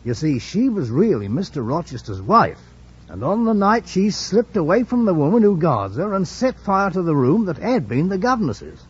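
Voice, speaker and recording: A man's voice: high voice, sing-song, moderate pace, slightly rough, exaggerated voice. A man playing a deferential, garrulous country innkeeper and former butler, confiding gossip with dramatic relish in a radio play. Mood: confiding, gossipy. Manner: servile, confiding, dramatic storytelling. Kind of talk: vintage radio drama character acting. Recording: dull vintage radio broadcast.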